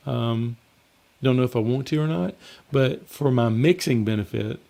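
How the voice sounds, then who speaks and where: A man hosting a casual live Q&A as a mixing coach, slightly hoarse and rambling in a conversational way. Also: medium pitch, normal speed, mellow. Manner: rambling and conversational, with frequent fillers and restarts. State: slightly hoarse, losing his voice. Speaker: mixing coach and show host.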